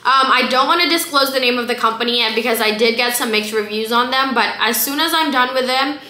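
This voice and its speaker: A woman's voice: a little high, a little fast, pushed and bright. A woman in her twenties with an American accent, a non-native English speaker, chatting casually and emphatically to camera as a slangy vlogger. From South Florida, immigrant from the Philippines. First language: a language other than English. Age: 20s. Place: small bare room indoors. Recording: echoey room.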